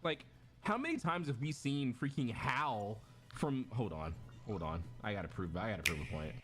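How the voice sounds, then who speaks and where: A man rambles through his thoughts while reacting to clips on a stream, his voice rising and falling in a lively way. He circles back with lots of fillers and starts sentences over, and faint music sits behind him. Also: medium pitch, normal speed. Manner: rambling, lots of "like" and restarts, laughs easily. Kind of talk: casual react-stream chat.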